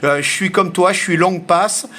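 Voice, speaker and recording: A man's voice: sits high, normal speed, bright, forceful tone. A man chatting casually as an amateur radio operator, speaking informally and hesitantly. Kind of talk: casual radio contact chat. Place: home radio shack in Asia. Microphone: radio station microphone.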